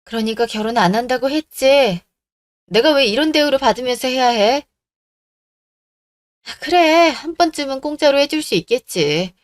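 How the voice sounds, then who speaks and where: A woman acts out an indignant young fiancée in a radio drama. She is blunt and scolding, with a fairly high voice in a dry recording. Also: lively intonation, normal speed, pauses often, no echo. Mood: angry, firm. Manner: blunt, scolding, rhetorical questions. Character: indignant, firm young woman breaking off her engagement.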